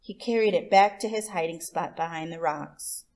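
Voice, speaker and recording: A woman reading a picture book aloud to children as host, expressive, with deliberate emphasis on words. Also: lowish voice. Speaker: children's story reader and host.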